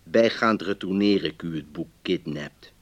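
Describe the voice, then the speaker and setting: A man's voice: deep voice, measured pace, muffled recording. An actor playing a polite, increasingly exasperated man reading out his formal complaint letters in a radio drama. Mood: politely formal. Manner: formal, emphatic.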